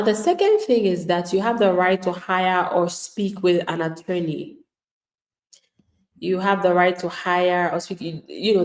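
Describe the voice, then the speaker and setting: A woman's voice: on the low side, a little mellow, echoey room. A woman immigration attorney giving live legal advice to viewers in an emphatic, plain-spoken way, acting out the replies of people she describes.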